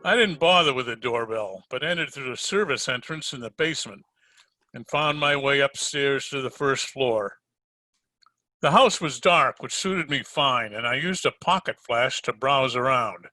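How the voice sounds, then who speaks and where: Hardboiled detective for old-time radio drama, male actor: sardonic, tough, piercingly bright delivery, dry close sound. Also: medium pitch, expressive, moderate pace, no echo. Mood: narrating. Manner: wry, tough-talking, sardonic. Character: wisecracking hardboiled male private detective, unflappable. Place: home recording over video call.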